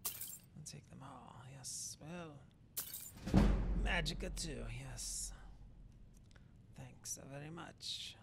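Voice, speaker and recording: Muttering, creaky man playing a rambling elf shaman. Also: fairly high pitch, very slow, long pauses, whispered, heavily acted. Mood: musing, muttering. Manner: hesitant, rambling, muttering with soft asides. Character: muttering, hazy-minded Dark Elf shaman, male, musing aloud. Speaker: player roleplaying his game character. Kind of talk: in-character video game roleplay commentary. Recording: noisy background with game ambience.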